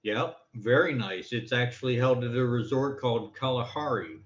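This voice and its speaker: A man joining a game league show as a team's player, answering in short, relaxed remarks over a voice call. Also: mid-range voice, normal speed. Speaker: team player guest. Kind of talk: casual chat. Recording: echoey, dull voice-call audio.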